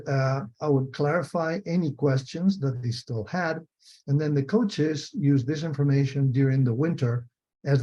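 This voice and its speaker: Older Spanish-accented man lecturing, mellow and dull-sounding. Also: medium pitch. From Spain. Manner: methodical, repeats and rephrases key points. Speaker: retired biomechanics professor, lecturer. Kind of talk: explanatory online lecture. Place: online video call. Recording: dull, muffled video call audio.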